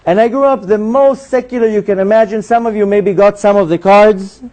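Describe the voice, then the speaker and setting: A man in his forties from Israel, telling his life story to an audience in a synagogue hall and acting out the voices in it. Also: a little fast. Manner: animated storyteller who acts out dialogue in a higher voice. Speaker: speaker giving a talk.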